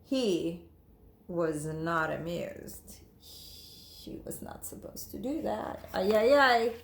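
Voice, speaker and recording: A woman teacher reading a storybook aloud to children, expressive and emphatic, speaking to her listeners directly. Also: mid-range voice, slow, vocal fry, slight background noise.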